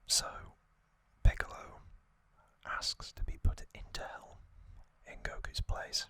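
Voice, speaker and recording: A man hosting an ASMR recap in a breathy whisper, musing casually and giving his own opinions as he goes. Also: slow, pushed and bright, no room sound. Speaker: ASMR video host.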